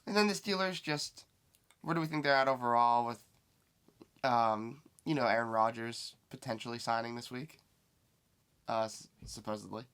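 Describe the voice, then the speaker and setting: A man with a raspy voice, co-hosting a casual sports podcast, asking questions in a laid-back way. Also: medium pitch, normal speed, pauses often, a bit bright. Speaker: podcast co-host.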